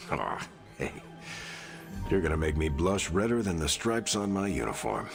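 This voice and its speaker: An actor playing a sincere, steadfast soldier hero in a video game, speaking in an encouraging way. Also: male voice, extremely low pitch, sing-song, moderate pace, vocal fry, soft background music, heavily acted. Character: steadfast soldier hero, leader type, warm and proud. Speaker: game character. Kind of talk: video game cutscene acting.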